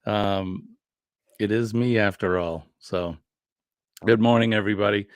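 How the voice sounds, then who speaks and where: A man's voice: fairly low pitch, steady tone, unhurried, no echo. A man hosting a casual morning livestream, greeting viewers in an easygoing, joking way with many fillers. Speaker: livestream host.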